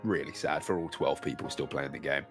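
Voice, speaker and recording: A man's voice: a bass voice, steady tone, a little fast, music in the background. A young man co-hosting a podcast in casual chat, his throat sore and cracking, nose stuffy, laughing often as he rambles. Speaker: podcast co-host.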